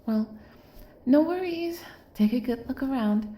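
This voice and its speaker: A woman's voice: high voice, expressive, measured pace, echoey room. A woman playing an obsessive, lovestruck kidnapper in an intimate audio roleplay, doting and possessive, coaxing the listener with hesitant asides. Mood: teasing, smug. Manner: soft, coaxing, hesitant asides, drops into whispers.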